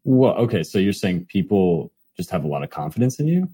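Young male podcast host, low husky voice, easygoing and teasing. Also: sing-song, fairly quick, warm tone, dry recording, studio quality. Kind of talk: casual podcast intro and questions.

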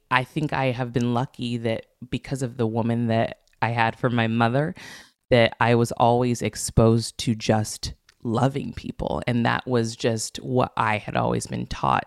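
Gravelly-voiced woman speaking reflectively. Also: rumbling low, no echo, studio quality. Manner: reflective, earnest, with frequent restarts. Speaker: guest. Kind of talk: casual conversation.

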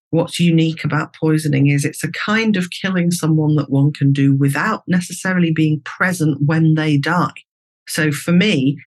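A woman speaking conversationally as an interviewed commentator, explaining her views in a thoughtful, informal way. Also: low-pitched.

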